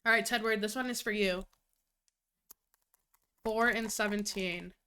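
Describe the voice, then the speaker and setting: Young woman, nasal and stuffed-up from a cold, chatty live-stream talk, swears a lot, laughs often, smooth clean tone. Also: medium pitch, fairly even intonation, unhurried, bright, forceful tone. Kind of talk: casual live-stream chat.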